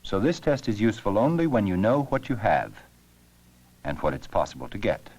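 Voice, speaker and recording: Man, very low voice, lively varied intonation, scripted educational narration, measured explanatory delivery. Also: breathy edge, rough recording. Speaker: narrator of an educational science film.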